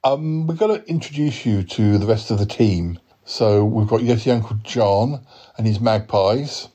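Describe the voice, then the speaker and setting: A man's voice: lowish voice, measured pace, warm tone, breathy edge. A man playing a flustered, polite podcast host, hesitant and apologetic, stammering through a whimsical audio story. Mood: awkward, welcoming.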